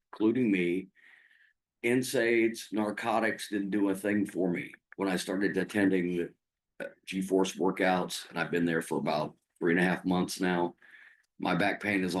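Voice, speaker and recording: A hoarse man giving an earnest, plain-spoken public comment at a city meeting. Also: deep voice, rough recording. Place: city council chamber.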